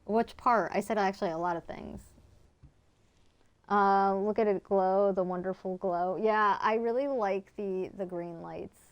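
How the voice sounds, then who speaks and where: A woman's voice: medium pitch, steady tone, a little slow, highs cut off. Chatty woman with a Connecticut accent. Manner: chatty, reads and answers chat, many fillers. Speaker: streamer. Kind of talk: casual live-stream chat while gaming.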